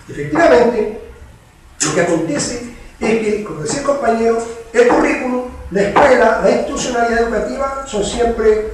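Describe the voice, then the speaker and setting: A man's voice: very high voice, fairly bright tone, slight background noise. A man, a veteran teacher giving an impassioned lecture and hammering his points home through repetition. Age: 70s. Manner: emphatic, repeats key words in insistent chains. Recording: echoey room picked up from far off.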